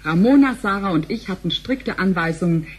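A woman's voice: lowish voice, expressive, brisk, husky. A woman playing a gossipy, obliging bank clerk with a breezy, colloquial way of talking in a sci-fi radio play. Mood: flustered.

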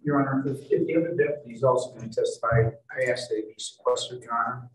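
An American man speaking as a defense attorney, making formal, somewhat halting arguments to a judge. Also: mid-range voice, steady tone. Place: courtroom, far from the mic. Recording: echoey distant courtroom microphone, faint noise.

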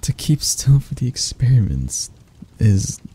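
A man voicing a possessive, teasing spider-creature lover in an intimate ASMR roleplay, with a husky, breathy, gravelly murmur. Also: mid-range voice, big pitch swings. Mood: teasing, menacing. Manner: slow, teasing murmur with soft chuckles and near-whispers.

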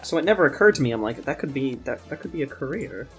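A young man co-hosts a casual podcast at an ordinary middle pitch. He talks in a halting, conversational way, doubling back to rephrase, with music playing underneath. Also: expressive, a bit bright. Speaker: podcast co-host, game designer. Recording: music behind the voice. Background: background music.

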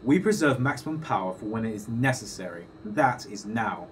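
A man's voice: lowish voice, animated, moderate pace, recorded from a distance, slight background noise, lightly acted. A man playing a curt, obedient henchman in a sci-fi audio drama, giving stern, clipped orders. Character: curt, obedient male henchman guard serving alien masters.

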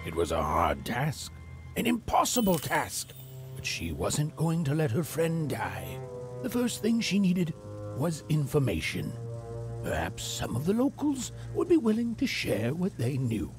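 A man playing a dramatic storybook narrator for a dark fairy-tale video game. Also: a little high, wildly swooping pitch, a little slow, music in the background. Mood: grave storytelling.

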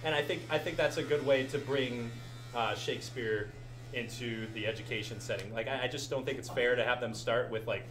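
A man's voice: medium pitch, brisk. A young man in his twenties hosting a live show, rambling and laughing often as he answers questions and thanks the crowd. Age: 20s. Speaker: podcast host. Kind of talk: live show Q&A and farewell speech. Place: live show venue with audience. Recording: echoey room, loud background noise.

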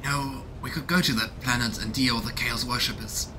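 A man in his thirties commentates on a video game from a home room. His voice is medium-pitched and his delivery is musing and casual, shifting into read-aloud narration. Clear game noise runs behind him. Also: steady tone, a little fast. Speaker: let's play host. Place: small home room indoors. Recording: noisy, game ambience behind voice. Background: video game ambience.

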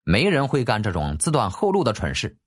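A man's voice: on the low side, wildly swooping pitch, fairly quick, husky. A man narrating an audiobook in a dramatic, scripted storytelling style.

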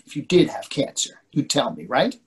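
Give this man's performance of an older stage-comedy husband a husky, rough edge and very animated swings. He is anxious, needling and bossy, forever questioning his wife. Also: mid-range voice, normal speed. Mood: needling, worried. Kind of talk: readers theatre play reading. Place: indoors on a video call.

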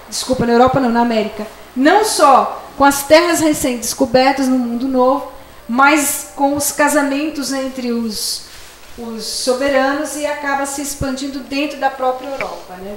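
A middle-aged woman with a high voice teaches from a handheld microphone, keeping it conversational and tossing in little check-ins to her listeners. The room is small and echoey, with a faint hum of ambience behind her. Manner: chatty, asides with "né?". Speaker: lecturer. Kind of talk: classroom lecture with slides. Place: small lecture room with projector screen.

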